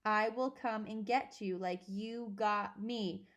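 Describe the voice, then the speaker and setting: A woman voicing the ghost of a dead father who speaks through writing chalk, slowly and ominously, in a storybook reading. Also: medium pitch, fairly even intonation. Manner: slow, measured, ominous.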